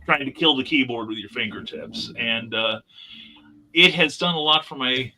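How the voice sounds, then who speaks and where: A man's voice: mid-range voice, normal speed, sharp tone, a little hiss or hum, poor audio. A man giving a reflective, explanatory answer as a guest on a discussion panel.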